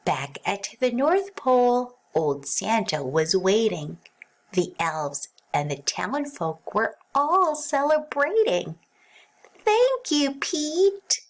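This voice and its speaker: A woman reading a rhyming children's storybook aloud as narrator, in an expressive sing-song way. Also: medium pitch, slow pace.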